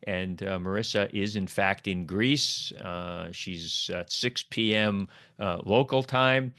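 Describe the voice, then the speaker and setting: A man's voice: low voice, slow pace, fairly bright tone, dry recording, clean studio sound. A man hosting a podcast interview, asking questions in an easygoing way with many fillers. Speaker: podcast host.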